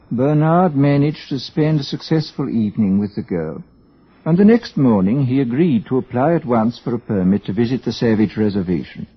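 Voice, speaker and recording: A man's voice: mid-range voice, husky. A man giving scripted narration for a radio drama. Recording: dull, muffled old radio recording.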